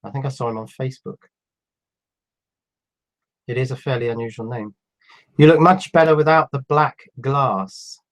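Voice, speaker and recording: Guy in his 50s with a cold, reading chat questions and answering them, kinda halting and laid back. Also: mid-range voice. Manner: reads viewer questions aloud, then answers them in a relaxed, halting way. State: has a cold. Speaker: electronics YouTuber answering live chat. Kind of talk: casual live stream chat.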